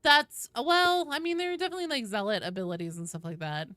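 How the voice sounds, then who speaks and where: A woman's voice: fairly high pitch, fairly even intonation, fast talker, sharp tone, smooth, no echo. A woman chatting casually and expressively with viewers as she hosts a gaming livestream. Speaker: game streamer and host.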